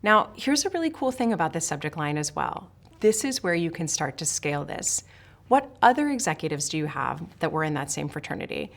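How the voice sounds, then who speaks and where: A woman's voice: sits low, big pitch swings, fairly quick, vocal fry. A woman from Switzerland, a sales trainer, giving a scripted lesson straight to the viewer in a direct, emphatic way. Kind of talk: scripted instructional talk to camera.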